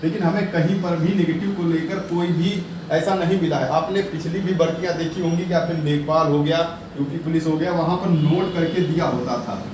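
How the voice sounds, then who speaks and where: A man giving informal exam updates and advice as a coaching teacher, repeating his points in a reassuring way. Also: fairly high pitch. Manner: repetitive, reassuring coaching talk. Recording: very echoey room, distant mic, noisy.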